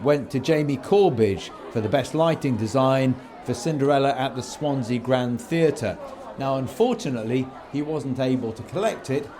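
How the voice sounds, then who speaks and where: A man presenting a podcast as its host, reading scripted announcements and links. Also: mid-range voice. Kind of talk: scripted presenting and backstage interviewing.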